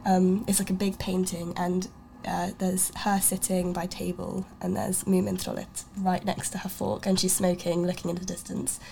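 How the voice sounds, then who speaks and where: Gravelly-voiced woman hosting a chat. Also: on the low side, flat delivery, normal speed, few pauses, dark tone, slight background noise, poor audio. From Sweden. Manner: chatty, many fillers and restarts. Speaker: radio show host. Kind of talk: casual art chat.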